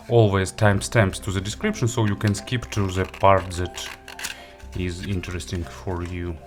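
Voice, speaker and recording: A man giving a casual gadget review and unboxing, halting and hesitant as he thinks aloud. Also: fairly low pitch. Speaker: audio gear reviewer.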